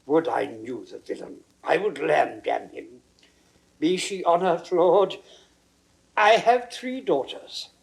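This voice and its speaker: An actor playing a blunt, hot-tempered old lord, theatrical and emphatic, in classical Shakespearean drama. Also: male voice, fairly high pitch, slow pace, vocal fry. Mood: indignant. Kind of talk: classical Shakespearean stage acting.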